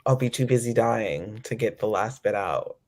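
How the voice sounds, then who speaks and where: A man with a fairly low voice talks reflectively as an interview guest. He is tentative and thoughtful, circling back over his words as he finds the point. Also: moderate pace, a little mellow.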